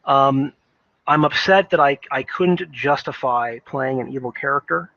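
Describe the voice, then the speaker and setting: Man in dull, dry lo-fi audio, halting delivery. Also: mid-range voice, fairly bright tone, dry recording. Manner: halting, thinking aloud with repeated words. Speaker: gaming commentator. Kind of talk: unscripted opinion monologue on video games.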